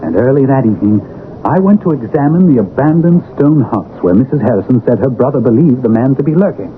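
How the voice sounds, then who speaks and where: Through a thin, hissy old radio comes a man acting a loyal gentleman narrator. He is earnest and formal, with a medium-pitched voice that rises and falls widely. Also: animated, a little fast, natural voice. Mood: tense narration. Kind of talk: 1970s radio drama acting. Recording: narrow-band vintage radio, faint hiss.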